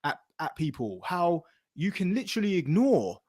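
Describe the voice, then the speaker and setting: A man's voice: sits high, sing-song, moderate pace, a little mellow, no room sound, studio quality. A British man hosting a podcast, talking in an emphatic, slangy, opinionated way with some swearing. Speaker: podcast host; military veteran. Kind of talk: opinionated casual podcast chat.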